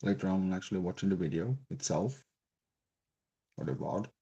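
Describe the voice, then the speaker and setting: Swedish guy rambling slow on his crafting stream, dark gravelly voice, muffled sound. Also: deep voice, expressive. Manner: slow, hesitant, rambling with many restarts. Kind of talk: casual live-stream chat while crafting. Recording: dull lo-fi stream audio.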